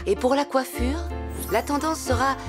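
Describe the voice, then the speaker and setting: A woman's voice: high voice, moderate pace, close to an everyday voice. A woman playing an affected, authoritative fashion expert on television in a children's cartoon. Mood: grandly instructive. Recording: loud music behind the voice.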